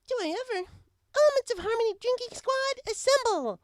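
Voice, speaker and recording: A man's voice: extremely high pitch, lively intonation, fairly quick, dry recording, studio quality, voice pushed up. A man voicing a cheerful, cheeky young female pony who hustles in bars, playful and breezy, in an audiobook story. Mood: excited. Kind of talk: fan fiction audiobook character voice.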